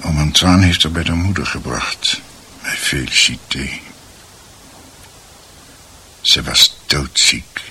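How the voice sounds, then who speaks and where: An actor playing a grave man who earnestly and deliberately recounts a family's past in a radio drama. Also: rumbling low, sharp tone, husky, heavily acted.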